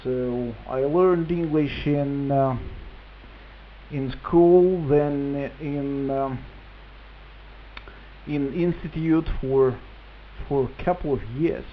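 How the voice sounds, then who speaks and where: Halting Russian man over tinny radio link. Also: mid-range voice. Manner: halting non-native English with long hesitations. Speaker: amateur radio operator, reflector administrator. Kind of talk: casual ham radio contact. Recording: tinny band-limited radio link with hiss.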